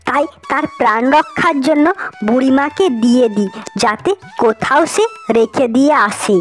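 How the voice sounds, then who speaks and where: Cartoon landlord's wife acted by a woman: sorrowful mother, high-pitched, over music. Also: normal speed, close to an everyday voice. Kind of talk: cartoon fairy-tale acting.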